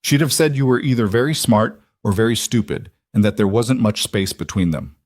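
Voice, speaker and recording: A man's voice: on the low side, animated, a little fast, a little mellow, dry recording. Guy doing a mob boss's sister in an audio story, frank, wry, kinda reflective, dry sound. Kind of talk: audiobook character dialogue.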